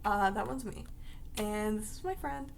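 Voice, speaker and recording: Raspy, just-woken young woman. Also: mid-range voice, measured pace, a little hiss or hum. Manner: rambling, chatty, full of fillers like "like" and "uh". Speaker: vlogger. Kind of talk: casual talk to camera.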